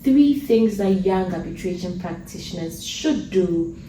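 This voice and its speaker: A woman's voice: mid-range voice, lively intonation, a little slow, mellow. A woman hosting an interview, asking questions and backing the guest with frequent listening sounds. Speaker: podcast host, interviewer. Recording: roomy sound, distant mic, faint noise.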